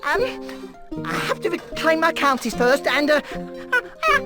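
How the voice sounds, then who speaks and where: A man's voice: extremely high pitch, big pitch swings, moderate pace. A man playing a timid, flustered lord in a game, stammering and dithering nervously. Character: timid, flustered male lord, cowardly and squeaky. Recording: music behind the voice.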